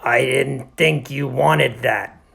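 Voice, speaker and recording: A man reads as a huffy male janitor in a storybook. He is stubborn and unbothered, grumbling in a creaky, husky voice. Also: mid-range voice, takes it slowly, a bit bright, exaggerated voice.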